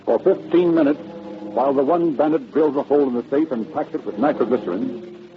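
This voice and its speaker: A man's voice: mid-range voice, fairly quick. A man narrating a crime drama in a dramatic, scripted style. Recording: muffled old radio recording. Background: loud music.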